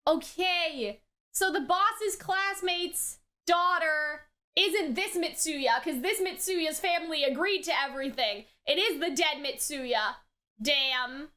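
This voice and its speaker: A woman with a very high, bright voice gives casual reaction commentary. She takes her time overall, yet sounds animated and exclamatory, dropping slang as she goes. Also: takes it slowly. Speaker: reaction video host.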